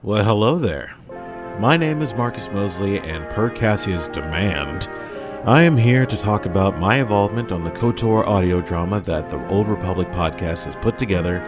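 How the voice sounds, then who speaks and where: A man's voice: fairly low pitch, lively intonation, normal speed. A man who is a voice actor, giving a scripted, theatrical and playful message to listeners. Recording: music behind the voice. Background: music.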